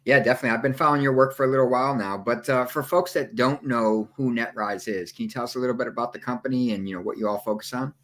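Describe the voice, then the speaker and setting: A man hosting an interview podcast, asking questions in a quick, friendly way. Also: medium pitch, a bit bright. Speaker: podcast host.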